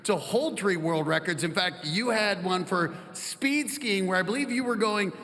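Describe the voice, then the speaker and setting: A man's voice: sits high, moderate pace, fairly bright tone. A man moderating a panel on stage, enthusiastic and joking with his guests. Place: stage in a large hall. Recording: long hall reverb.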